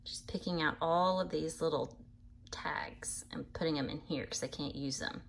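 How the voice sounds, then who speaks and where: A woman reading a picture book aloud to children in an animated way, pausing to explain things patiently in simple words. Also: low-pitched, creaky, slight background noise, low-quality recording. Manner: animated, acts out animal sounds, addresses young listeners. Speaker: read-aloud storyteller for children.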